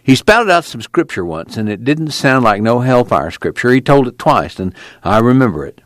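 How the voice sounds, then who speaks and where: A young man on the run, weary but quietly resolute, voiced by an audiobook reader. He talks low and unhurried in plain rural speech, and his pitch rises and falls freely. The voice sits well below the reader's own. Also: sing-song, no echo. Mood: reflective. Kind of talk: audiobook character reading.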